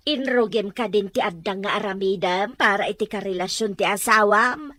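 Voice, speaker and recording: A woman's voice: mid-range voice, normal speed, bright, forceful tone, no echo, natural voice. An actress playing a blunt, scolding mother in an audio drama, talking in a nagging way. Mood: teasing. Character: scolding middle-aged mother, blunt and pushy. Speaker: mother of the young wife. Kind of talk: Ilocano audio drama acting. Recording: dry close mic under music.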